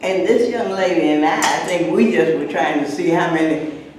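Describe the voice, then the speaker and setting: An older woman from Texas, raised in Chicago, a retired teacher and actress reminiscing as a guest, halting and repeating words with frequent chuckles. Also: fairly low pitch, noisy background. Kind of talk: reminiscing at an event. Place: large indoor room. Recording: echoey room, mic far off.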